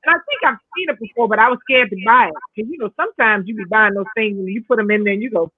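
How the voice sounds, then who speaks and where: A woman's voice: fairly high pitch, very fast, background noise. A woman from the Bronx co-hosting a cooking show, chatty and jokey in casual talk over a video call. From the Bronx, New York. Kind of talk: casual chat. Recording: tinny phone-like line.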